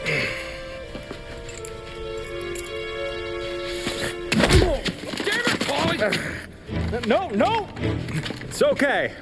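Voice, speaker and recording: High, slow, folksy bootlegger. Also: male voice, wildly swooping pitch, full character voice. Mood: exasperated. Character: genteel, excitable male bootlegger supplying whiskey to mobsters. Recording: loud music behind.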